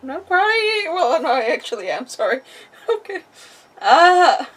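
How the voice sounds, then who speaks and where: A woman's voice: extremely high pitch, very animated, a little slow, fairly bright tone, distant mic. A woman livestreaming a game, chatting casually and reacting aloud as she plays. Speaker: game streamer. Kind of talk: casual livestream gameplay commentary. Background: faint game music.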